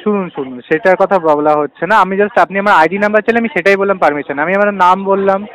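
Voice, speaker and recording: A man's voice: sits high, fast talker, fairly bright tone. A man with a West Bengal Bengali accent talking defensively as a recovery agent on a phone call, cutting in and repeating words. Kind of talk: argumentative phone conversation.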